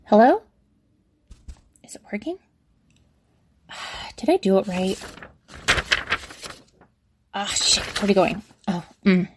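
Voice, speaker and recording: A woman's voice: mid-range voice, sing-song, takes it slowly, long pauses, slight background noise. A young woman playing a flustered, chatty witch in an audio drama, rambling awkwardly and correcting herself as she dictates a letter. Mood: unsure, fumbling. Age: young adult. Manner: rambling, awkward, self-correcting, sighing asides. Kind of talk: audio drama monologue, conversational.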